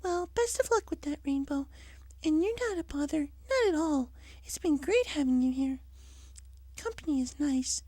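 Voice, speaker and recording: Man doing a soft, very high timid girl pony. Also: expressive, moderate pace, no room sound. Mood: gentle, kind. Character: gentle, timid young female pony, softly polite.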